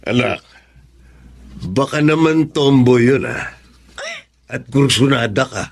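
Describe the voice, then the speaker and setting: A gravelly middle-aged father in a Filipino radio drama. He questions his child gently but warily, with medium pitch and creak in the voice. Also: takes it slowly. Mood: wary, curious.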